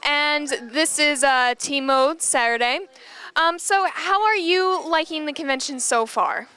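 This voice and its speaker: A woman's voice: high-pitched, unhurried, bright, forceful tone. A young woman co-hosting convention coverage, speaking casually and hesitantly. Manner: hesitant, many ums and restarts. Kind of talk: casual chat and interviewing.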